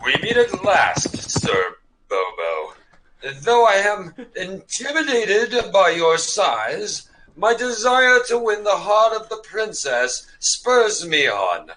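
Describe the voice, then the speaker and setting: A man's voice: high voice, big pitch swings, slow pace, pushed and bright, exaggerated voice, poor audio. A man playing a chivalrous but cowardly knight in a mock-medieval comedy sketch, with formal, pompous, theatrical phrasing. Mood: noble, earnest. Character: florid, chivalrous young male knight, secretly cowardly.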